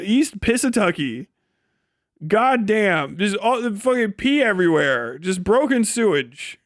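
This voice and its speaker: A man's voice: high-pitched, a bit bright, dry recording. A man, recorded close and dry. He talks off the cuff like a streamer, swearing freely, with a mocking, incredulous edge to his commentary. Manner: breaks often into laughter while talking. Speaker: live streamer. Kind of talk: casual rant and commentary to a stream audience.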